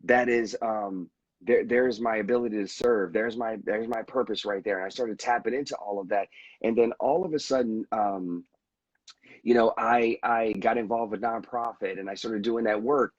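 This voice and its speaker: Husky, low-voiced man speaking earnestly. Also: steady tone, moderate pace, no echo, highs cut off. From United States. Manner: earnest and reflective, with frequent restarts. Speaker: veteran guest. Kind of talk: candid conversational storytelling.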